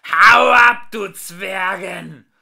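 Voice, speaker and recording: A man voicing hostile, threatening high-rise buildings in a storybook, hissing at a newcomer. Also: extremely slow, vocal fry, pushed and bright, full character voice.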